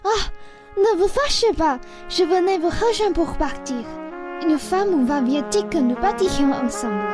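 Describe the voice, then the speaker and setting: A very high, youthful female voice, with music underneath. A young woman plays a headstrong girl in love, pleading and ordering by turns in a French comic radio play. Her French. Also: lively intonation, measured pace. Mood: hurt, pleading. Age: young adult. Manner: insistent, pleading, bossy. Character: lovestruck headstrong young woman, eager to join a thief.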